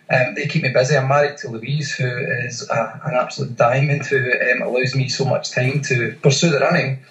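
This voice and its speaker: A Scottish man in his forties, a guest in a casual interview, talking with many pauses and fillers. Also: medium pitch, fairly bright tone, reverberant, dull audio. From Scotland. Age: 40s. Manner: hesitant, many fillers and restarts. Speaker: guest, ultra runner.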